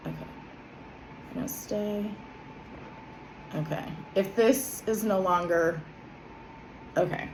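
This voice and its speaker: A woman, a little hoarse from a cold, talking gently with many pauses and self-corrections, then reading her own journal. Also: medium pitch, reverberant, a little hiss or hum, low-quality recording. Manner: soft, hesitant, many ums and self-corrections. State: slightly hoarse from a summer cold. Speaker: vlogger.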